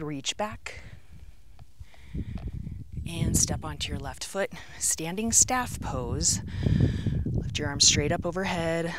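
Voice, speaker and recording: Lower-pitched woman leading yoga. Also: fairly bright tone, slight background noise. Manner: measured step-by-step cueing in short phrases with pauses. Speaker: yoga instructor. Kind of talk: guided yoga class instruction, calling out poses and breaths. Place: outdoors on an open rocky canyon rim.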